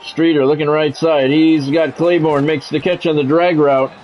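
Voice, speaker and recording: A man's voice: fairly high pitch, fairly even intonation, normal speed, darkish tone, slight background noise, poor audio. A man doing play-by-play of his own football video game in short, blunt phrases, mixed with casual gamer talk.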